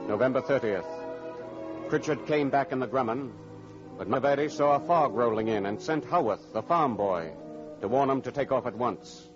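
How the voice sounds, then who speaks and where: A man narrates a vintage radio drama. His delivery is formal and dramatic, like a 1940s announcer, in a middling pitch. The recording is muffled, with music clearly behind him. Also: normal speed.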